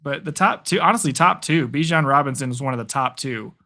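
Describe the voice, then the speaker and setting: A young man calling play-by-play for a live stream, excitable and chatty, answering chat, his voice getting worn. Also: medium pitch, brisk. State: losing his voice. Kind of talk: casual live play-by-play commentary.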